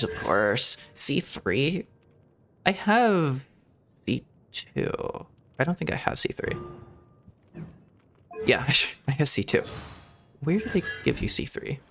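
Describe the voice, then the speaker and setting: A man in an easy middle register chats while he plays a story game. He muses out loud, circling back and hedging, breaking into small laughs. Soft game music runs quietly underneath. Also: mid-range voice. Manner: rambling and reflective, with hedges and soft laughs. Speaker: VTuber streamer. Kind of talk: casual gameplay commentary.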